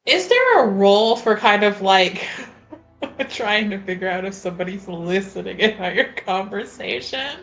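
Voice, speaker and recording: A woman playing a tabletop roleplaying game, talking in a hesitant, halting way as she searches for words. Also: medium pitch, animated, a bit bright. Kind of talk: unscripted in-character roleplay and table talk.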